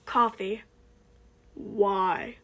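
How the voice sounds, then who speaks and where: A woman voicing a tired, grumpy older man with a flat, weary delivery. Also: mid-range voice, extremely slow, pitched below the performer's own voice.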